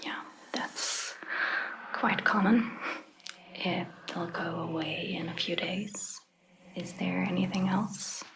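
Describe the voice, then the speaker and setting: A woman speaking in a breathy, gentle ASMR roleplay style as a caring, reassuring vampire doctor, with hesitant pauses. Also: lowish voice, fairly even intonation, poor audio. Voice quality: breathy, whispery. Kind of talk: soft-spoken ASMR roleplay.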